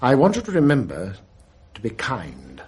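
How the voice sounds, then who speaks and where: An actor playing a stern, earnest older schoolmaster with a British accent, moralizing in a solemn, measured way in a radio drama. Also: male voice, medium pitch, wildly swooping pitch, darkish tone, breathy edge, exaggerated voice. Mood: grave. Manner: solemn, didactic, measured pauses.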